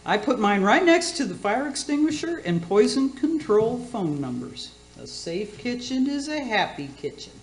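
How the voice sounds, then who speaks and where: A woman's voice: on the high side, big pitch swings, moderate pace, hardly any pauses, soft background music. A woman playing a batty, boastful older aunt who hosts a radio cooking show in a comic radio play, with a folksy, theatrical, catty manner. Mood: cheerful, scatterbrained. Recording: roomy echoing sound.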